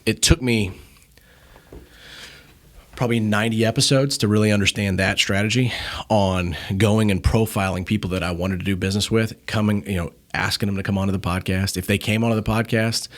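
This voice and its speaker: A man with a very low-pitched voice talks as a guest on a podcast. His delivery is informal and blunt, in the loose style of a conversational interview. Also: fairly even intonation, fairly quick. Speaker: podcast guest and media company founder. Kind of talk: casual conversational interview storytelling.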